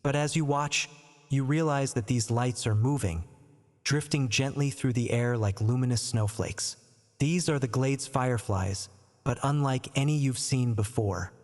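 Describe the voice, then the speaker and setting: A man narrating a scripted bedtime story and guided sleep meditation in a calm, gentle, unhurried, soothing manner. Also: medium pitch. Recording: long reverb on the voice.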